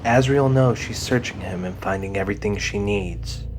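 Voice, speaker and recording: Low-voiced man narrating dramatic fiction. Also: normal speed, darkish tone, slight background noise.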